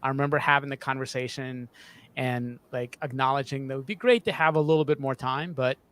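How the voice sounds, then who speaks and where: A man's voice: medium pitch, fairly bright tone. A man in his thirties, a sound designer, explaining his process in an interview from a home studio, restarting words as he goes. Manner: halting, often repeats and restarts words. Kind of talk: interview answer about creative process. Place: small home studio, video call. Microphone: desk boom microphone up close.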